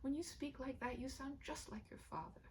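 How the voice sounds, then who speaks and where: Woman voicing a breathy, anguished young wife. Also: high voice, moderate pace, noisy background, pitched above the performer's own voice, close to an everyday voice. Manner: breathy, pleading, often dropping to a whisper. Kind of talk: dramatic storybook read-aloud.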